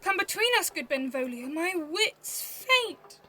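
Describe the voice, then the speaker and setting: A woman's voice: very high-pitched, expressive, a little slow, full character voice. A woman playing a witty, bawdy, teasing young Mercutio in a theatrical Shakespeare audio drama, recorded on a phone at home. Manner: theatrical, teasing, swooping between loud flourishes and mutters.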